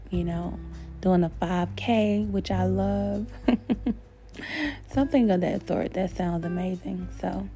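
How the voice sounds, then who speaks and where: A woman hosting a podcast in a casual, encouraging way, often speaking of faith and gratitude to her listeners. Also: medium pitch. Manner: warm, encouraging, spiritual, talks to listeners as "my babies". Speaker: podcast host. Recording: faint guitar music behind.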